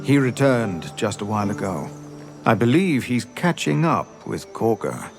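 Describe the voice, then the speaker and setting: A man's voice: fairly low pitch, sing-song, unhurried. A man playing a courteous camp informant in a fantasy video game, speaking in a matter-of-fact way. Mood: informative, polite. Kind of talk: video game dramatic acting. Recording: music behind the voice.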